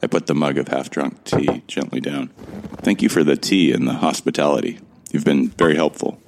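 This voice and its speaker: A man's voice: rumbling low, moderate pace, noisy background. An actor narrating in first person as a determined man who runs toward his doom, in a grim, resigned audio drama telling. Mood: resolute.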